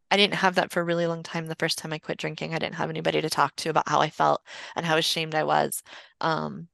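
Female podcast guest, forties, lowish bright voice, rambling conversational storyteller, close dry sound. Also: vocal fry, dry recording. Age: 40s. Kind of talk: casual personal storytelling.

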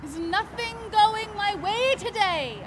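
A woman's voice: very high-pitched, expressive, slow pace, heavy background noise, full character voice. A woman playing an exasperated, blunt, foul-mouthed young girlfriend in an audio drama. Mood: frustrated. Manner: profane, exasperated.

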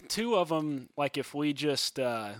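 A soft-spoken man in his thirties chats on a podcast in a fairly high, gentle voice. He rambles, restarts his sentences and leans on fillers. The sound is close and dry, with no room to it. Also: animated, slow pace, no echo. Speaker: musician and podcast co-host. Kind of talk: casual podcast chat.

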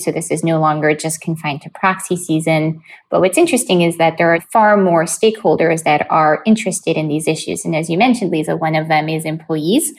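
Female, slightly low pitch, governance advisor, panel discussion delivery.

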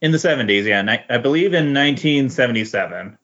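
A man speaking as a podcast guest in a casual comic book discussion, halting and often repeating words. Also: medium pitch, expressive, a bit bright. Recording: dull lo-fi voice recording.

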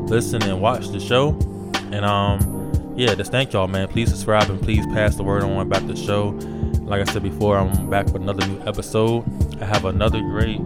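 A man hosting a casual podcast interview, relaxed and chatty with lots of fillers and friendly shout-outs. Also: on the low side. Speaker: podcast host. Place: Virginia.

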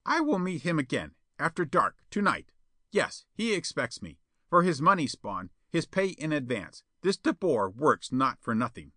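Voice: medium pitch, sing-song, normal speed, pushed and bright, dry recording, clean studio sound, pitched above the performer's own voice. A man voicing a crafty, accusing male villain in a storybook, sly, needling and insistent. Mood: conspiratorial.

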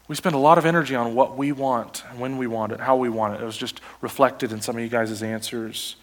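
Guy preaching a sermon, animated, throws questions at the crowd, mid pitch. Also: a little fast. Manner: animated, rhetorical questions to the congregation. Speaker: pastor.

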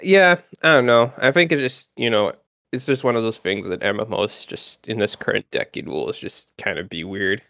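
A man's voice: fairly low pitch, moderate pace, gravelly, a bit bright. A man in his twenties chats as a guest on a gaming podcast. He rambles along in a loose, chatty way, full of likes and uhs. The sound is dry and close, with no room echo. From Canada. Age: 20s. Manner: rambling, chatty, lots of fillers. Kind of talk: casual gaming podcast chat.

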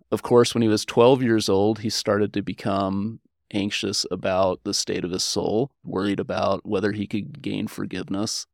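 A man's voice: fairly low pitch, gravelly, dry recording, studio quality. A man, a historian, explaining things conversationally as an interview guest.

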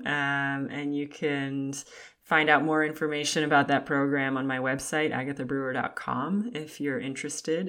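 Low-voiced woman, a little raspy. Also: a bit bright. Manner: chatty, laughs lightly between phrases. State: slightly raspy voice. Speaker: podcast host. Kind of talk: casual solo podcast talk.

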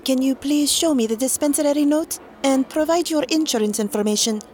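Actress as high-voiced, apologetic foreign shop clerk. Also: female voice, a little fast, a little mellow, slight background noise, lightly acted. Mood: polite, firm. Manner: polite, hesitant, apologetic. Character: tired young apothecary clerk, apologetic, bound by rules.

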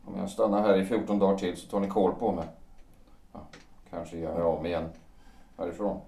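Guy playing a wry tipsy world-weary man, low voice, rambling, period radio play in a roomy space. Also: little pitch movement, creaky, mellow. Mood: tipsy, disillusioned. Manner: rambling, ironic, hesitant. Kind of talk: audio drama acting of a period stage play. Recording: roomy, distant microphone.

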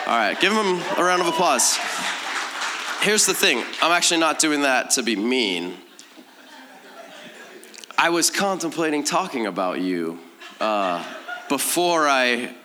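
A man's voice: pushed and bright, a little hiss or hum. A man preaching to a congregation as a pastor, in a conversational, informal style with a teasing, self-deprecating manner. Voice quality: slightly deeper voice than usual. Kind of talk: conversational sermon.

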